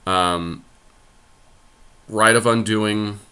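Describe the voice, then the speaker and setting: A man with a fairly low, clearly bright voice. He talks casually through a card game as a podcast host, reasoning aloud and settling each choice decisively. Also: steady tone, extremely slow. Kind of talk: casual deckbuilding commentary.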